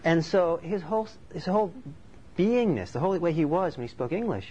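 A man's voice: fairly high pitch, expressive, a little fast, mellow, slightly rough. A man from Norway giving a thoughtful, conversational dharma talk as a meditation teacher. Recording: slightly dull recording with faint background noise.

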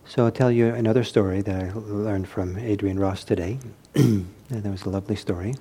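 A man giving an informal meditation talk, reflective and hesitant as he searches for words, with a soft, husky voice. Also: on the low side, fairly even intonation, a little fast. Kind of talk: informal spoken teaching with anecdotes.

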